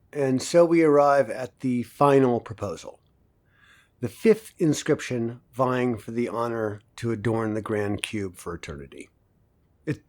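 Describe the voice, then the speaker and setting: A man playing a cynical, persuasive professor, lecturing in a measured, didactic way with rhetorical pauses in an audio drama. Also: mid-range voice, darkish tone, natural voice. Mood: teasing, didactic.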